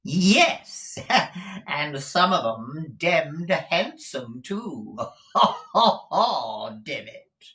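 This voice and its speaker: Storybook fop: affected husband, effusive and exclamatory, swooping high, slow drawl. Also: very animated, bright, forceful tone, roomy sound, full character voice. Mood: leering, amused. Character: foppish, affected, dissolute husband, theatrically effusive.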